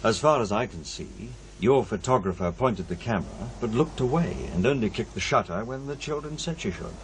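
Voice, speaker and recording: Older male academic, acted by a man, measured, pedantic and testy, very expressive pitch, audio drama, faint noise behind. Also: lightly acted. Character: shrewd old male professor, ailing but proud ghost investigator.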